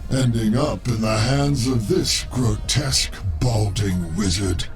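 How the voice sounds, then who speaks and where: An actor voicing a bitter, world-weary, distrustful artificial being in a game, speaking gravely and formally. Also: male voice, on the low side, takes it slowly. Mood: bitter, brooding. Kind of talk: animated game dialogue. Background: loud background music.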